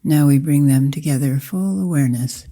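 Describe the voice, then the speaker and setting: A woman meditation teacher guiding a practice in slow, deliberate short phrases with long pauses. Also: sits low, dark tone. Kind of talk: guided meditation, slow spoken instruction.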